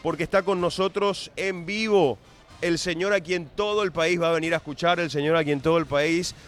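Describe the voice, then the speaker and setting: A man's voice: on the high side, fairly even intonation, moderate pace, a bit bright, soft background music. A man hosting a radio show, introducing his guest with enthusiasm and asking long, wordy questions. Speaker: radio host. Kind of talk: radio interview.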